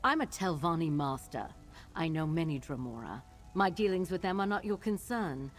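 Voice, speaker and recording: A haughty, evasive wizard master from a fantasy game. Her low voice swoops with disdain and brushes questions aside imperiously. Faint music plays behind her. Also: expressive, normal speed. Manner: imperious, dismissive. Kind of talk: fantasy video game voice acting.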